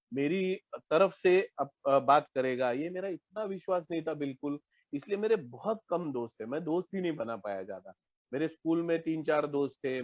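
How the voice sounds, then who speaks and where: A Marathi man from Maharashtra, giving a candid, reflective personal testimony at an online support meeting, with hesitant pauses and restarts. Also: mid-range voice, no room sound, muffled recording. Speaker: recovering member sharing at a support meeting.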